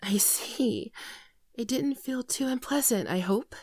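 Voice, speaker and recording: A woman playing a gentle, naive shapeshifting alien in an ASMR roleplay, speaking softly, hesitantly and tenderly, often near a whisper, close to the microphone. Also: fairly high pitch, takes it slowly, no echo. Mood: tender, playful. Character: gentle shapeshifting female alien, naive, earnest, shyly affectionate. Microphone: close microphone, dry sound.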